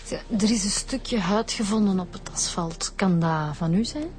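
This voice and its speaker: Gentle Flemish female detective, played by an actress, mid-range voice, informal, radio drama with clear music behind. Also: medium pitch, normal speed, close to an everyday voice. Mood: gentle, probing. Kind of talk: naturalistic radio drama acting.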